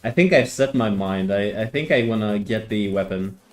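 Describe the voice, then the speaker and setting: A man's voice: on the low side, moderate pace, soft background music. A man from Greece streaming a strategy game, chatting casually with viewers and often repeating his words as he thinks. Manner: hesitant, repeats words, answers chat. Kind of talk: casual live-stream chat.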